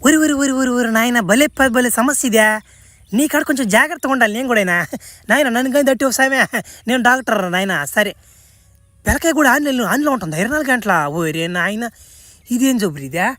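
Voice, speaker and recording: A woman's voice: fairly high pitch, fast talker, a bit bright, dry recording, lightly acted. A woman voicing an exasperated, bemused male doctor in a comic cartoon, chatty and full of incredulous exclamations. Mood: alarmed, advising. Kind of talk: comic cartoon dubbing in Telugu.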